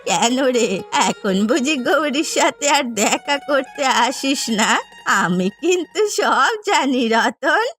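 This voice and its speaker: A woman's voice: high-pitched, big pitch swings, slow pace, fairly bright tone, soft background music, heavily acted. A woman playing a gossipy, teasing old village granny in a cartoon story, drawling her words. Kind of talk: dubbed cartoon story acting.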